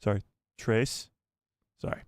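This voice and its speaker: A man's voice: slow, dry recording. A man hosting a live fan news stream, reading news and adding rambling, self-correcting asides to his viewers. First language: not Spanish. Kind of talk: casual live news chat.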